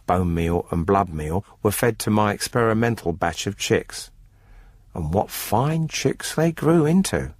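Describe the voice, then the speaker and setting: A smug farmer with murder on his conscience, narrating a radio drama. His voice is low and slightly husky, with measured, formal phrasing and a dry, coolly calculating air. The sound is close and dry, with music behind him. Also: dry recording. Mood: coolly macabre.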